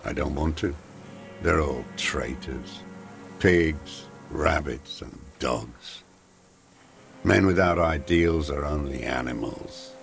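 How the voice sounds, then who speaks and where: An actor playing a bitter, weary old communist deserter and former commissar in a video game, speaking in terse, brooding phrases with a smoker's rasp. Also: male voice, rumbling low, slow, full character voice. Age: older. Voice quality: gravelly, coughing smoker's voice. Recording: music under the voice.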